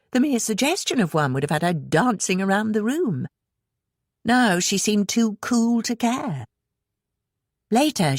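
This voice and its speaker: A woman narrates a suspense novel in a low, breathy voice. Her delivery is expressive and dramatic, with lively movement in pitch, recorded close and dry. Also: no room sound. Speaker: audiobook narrator. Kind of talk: scripted suspense fiction narration.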